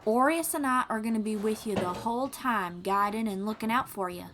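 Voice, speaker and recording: A woman's voice: mid-range voice, brisk, noisy background, natural voice. A woman playing a friendly young traveling bard in an audio roleplay, encouraging and reassuring, with a folksy drawl and casual phrasing. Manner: encouraging, reassuring, gently guiding. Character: friendly young female traveling bard who teaches riding. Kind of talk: second-person audio roleplay acting.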